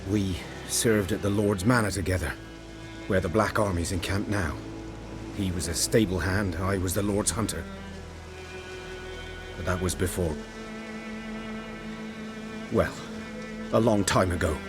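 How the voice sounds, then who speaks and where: Melancholy outcast hunter, lowish voice, guarded and plain, music under. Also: male voice, steady tone, measured pace, pauses often. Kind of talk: video game voice acting. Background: game music.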